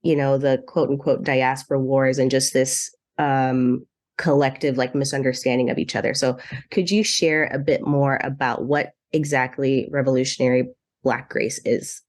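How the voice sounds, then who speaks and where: A woman's voice: a bass voice, fairly even intonation, normal speed, darkish tone. A young adult woman hosting a podcast, talking casually with many fillers. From Zambia, raised in the US. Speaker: podcast host. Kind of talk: casual interview chat.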